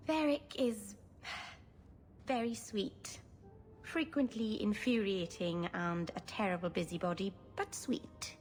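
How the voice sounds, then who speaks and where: A woman playing a gentle, lonely young elf in a video game, wistful and self-deprecating. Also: medium pitch, slow, faint music behind, natural voice. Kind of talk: video game cutscene acting. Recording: faint background noise.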